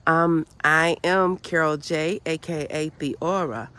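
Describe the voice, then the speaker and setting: A woman's voice: sits low, steady tone, takes it slowly, bright, forceful tone. Woman with a cold, nasal and earnest. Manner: earnest and preachy, repeats phrases, many "you know"s. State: has a cold, sounds nasal. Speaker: channel host. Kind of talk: motivational spiritual vlog talk.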